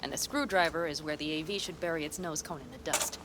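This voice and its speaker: A blunt, self-assured nomad woman, played by an actress, laying out her plans in a dry, matter-of-fact way. Her voice sits a little low, with faint noise under the game dialogue. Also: fast, lightly acted. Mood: calm, assured. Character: older female desert nomad planner, blunt and dry. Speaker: game character.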